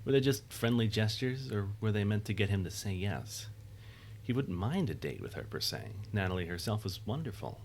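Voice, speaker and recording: Low-pitched male narrator. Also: expressive, moderate pace, darkish tone, a little hiss or hum. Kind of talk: scripted narration.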